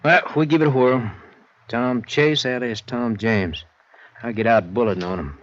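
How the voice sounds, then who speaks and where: An American actor playing a seasoned, skeptical police lieutenant in a 1950s radio crime drama, laconic, folksy and questioning. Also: male voice, medium pitch, moderate pace, close to an everyday voice. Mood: dry, resigned. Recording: muffled, noisy vintage radio recording.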